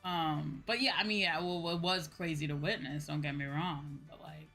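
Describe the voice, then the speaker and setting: Girl streamer just chatting n ranting, kinda low but bright voice, slangy, sweary, soft music behind. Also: steady tone, moderate pace. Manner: informal ranting, slangy and profane. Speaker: VTuber livestream host. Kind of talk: casual livestream chat.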